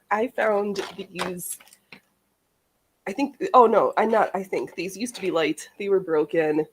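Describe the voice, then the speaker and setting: A woman's voice: on the low side, a little hiss or hum, poor audio. A woman hosting a casual crafting livestream, chatting in a rambling, easily sidetracked way. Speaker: livestream host of a sewing and crafting show.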